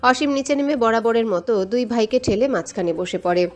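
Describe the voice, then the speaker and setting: A woman's voice: fairly high pitch, moderate pace, darkish tone. A woman reading a scripted romantic story as a dramatic narrator, with music behind her. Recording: music under the voice. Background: background music.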